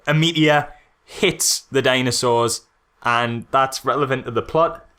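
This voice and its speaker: A man's voice: medium pitch, a little slow, pushed and bright. A man co-hosting a podcast, casually critiquing a film in a sarcastic way. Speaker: podcast co-host.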